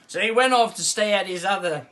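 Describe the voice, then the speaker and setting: Man, comic bedtime storyteller, slightly high voice, chuckling asides. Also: brisk, pushed and bright.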